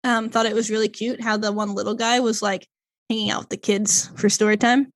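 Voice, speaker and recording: A woman's voice: mid-range voice, flat delivery, fairly quick. A woman co-hosting a casual podcast, stumbling over odd names as she reads a long plot summary, with lots of fillers. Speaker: podcast co-host. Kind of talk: casual chat, reading a plot summary aloud.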